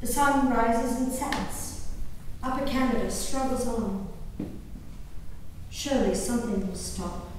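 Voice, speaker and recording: A woman performing a dramatic monologue as a genteel, devoted, anxious colonial wife and mother, in a theatrical, measured manner. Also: high voice, a little mellow. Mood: grieving. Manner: theatrical, measured, with exclamations and pauses. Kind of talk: dramatic stage monologue reading of a diary. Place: reverberant performance hall. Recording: echoey hall from far off, background noise.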